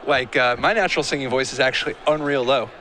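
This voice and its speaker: A man's voice: mid-range voice, fast talker, sharp tone, a little hiss or hum. A man doing live game commentary, casual and joking, chatting with his co-host between plays. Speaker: color commentator. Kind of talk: live tournament commentary with banter.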